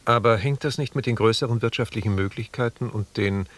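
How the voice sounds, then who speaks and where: Ein Schauspieler spielt in einem Hörspiel einen höflichen, zurückhaltenden Expeditionsarzt auf einer rauschenden Kassettenaufnahme. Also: male voice, lowish voice, brisk, natural voice. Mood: politely reserved. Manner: polite, reserved. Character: courteous, deferential male expedition physician. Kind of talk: formal radio drama dialogue. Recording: hissy cassette tape.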